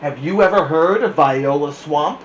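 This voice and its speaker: A man's voice: a little high, measured pace, roomy sound, dull audio, a little hiss or hum, natural voice. A man voicing a know-it-all big schoolkid who warns younger children in a storybook. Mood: ominous, taunting.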